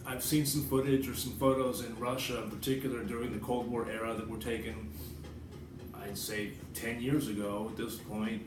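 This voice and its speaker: A man's voice: medium pitch, level tone, normal speed, music in the background. American man rambling in an echoey room. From United States. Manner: rambling, digressive, informal with profanity. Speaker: writer and vlogger. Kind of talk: casual rambling vlog monologue. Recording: roomy echo, distant mic, background noise.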